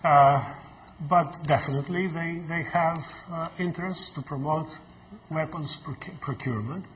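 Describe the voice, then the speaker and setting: A man's voice: on the high side, unhurried, a bit bright, a little hiss or hum. An older man from Greece, a guest lecturer answering audience questions in a direct, emphatic, plain-spoken way. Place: lecture hall. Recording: slightly muffled lecture recording.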